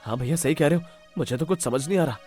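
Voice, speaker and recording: A man's voice: fairly high pitch, fast talker, a little mellow, faint music behind, lightly acted. Defensive village feast server, played by a man. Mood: baffled.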